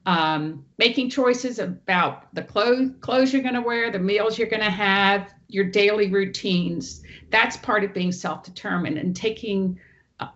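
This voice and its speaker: A woman presenter with a loud teacher voice, giving an online training talk and walking through each slide. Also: medium pitch, highs cut off. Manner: explains clearly, walks through each slide. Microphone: online meeting audio.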